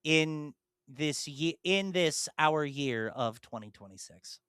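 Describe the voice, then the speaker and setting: Bright, crisp-voiced young man chatting. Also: a little high, animated, takes it slowly, dry recording, studio quality. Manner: enthusiastic, rambling with restarts. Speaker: podcast co-host. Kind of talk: casual chat.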